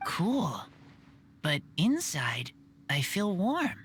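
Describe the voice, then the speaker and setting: Low, halting young wolf boy. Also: very animated, slight background noise, heavily acted. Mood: puzzled, sincere. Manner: slow, halting, short plain sentences. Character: earnest young wolf-raised boy, halting simple speech. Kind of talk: video game voice acting. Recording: music behind the voice.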